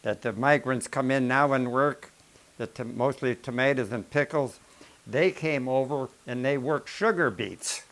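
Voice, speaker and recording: A man's voice: medium pitch, measured pace, fairly bright tone. An older man from the rural American Midwest, telling plain-spoken, rambling stories about his family and farm life. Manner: plain-spoken, folksy, rambling with self-corrections. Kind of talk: casual family storytelling.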